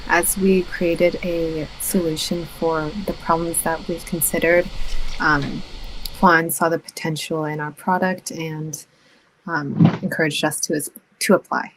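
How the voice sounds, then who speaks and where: A young woman, a student answering interview questions about her team in a measured way, with frequent ums. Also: deep voice, level tone, a little hiss or hum, poor audio.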